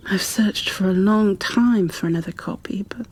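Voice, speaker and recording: A woman playing a gentle, shy, bookish bookkeeper in an ASMR roleplay, soft-spoken and half-whispering, with a hesitant, apologetic way of talking. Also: medium pitch, breathy edge, natural voice. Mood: shy, rambling. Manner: hesitant, apologetic, halting, careful. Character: gentle, shy, bookish female creature bookkeeper, peace-loving and kindly.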